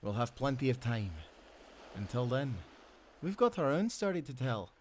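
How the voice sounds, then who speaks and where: A man's voice: mid-range voice, very animated, moderate pace, darkish tone, slight background noise, lightly acted. A man playing an affectionate Scottish sailor husband, telling stories in a tender, playful and intimate way. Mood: fond, gentle. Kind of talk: intimate roleplay storytelling.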